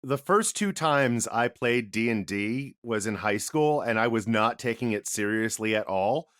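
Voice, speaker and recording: A man's voice: fairly low pitch, animated, a little slow, a bit bright, smooth, no room sound, clean studio sound. A man in casual podcast chat, telling a joking story in a playful way. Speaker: podcast cast member.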